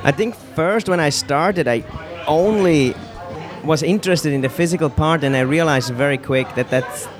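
Swiss guy chatting outside, slightly high voice, loud crowd and music behind. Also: moderate pace. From Switzerland. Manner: plain, easygoing answers with a light laugh. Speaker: guest, personal trainer. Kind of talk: casual conversation. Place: outdoors in a city.

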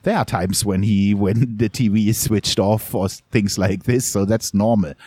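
Halting middle-aged German man, dry sound. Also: lowish voice, steady tone, warm tone, breathy edge, no echo. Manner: halting, repeats words and restarts often. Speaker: interviewee, journalist. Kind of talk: candid conversational interview.

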